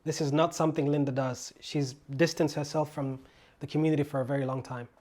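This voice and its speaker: A man, a filmmaker speaking in a measured, reflective way about his community. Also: on the high side, fairly even intonation, darkish tone.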